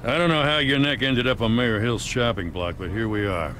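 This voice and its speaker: An American actor playing a middle-aged, dutiful police officer in a video game, measured and matter-of-fact. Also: male voice, medium pitch, fairly bright tone, a little hiss or hum, lightly acted. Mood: matter-of-fact, grave. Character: plain-spoken, dutiful police officer serving a warrant. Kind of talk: dramatic game dialogue.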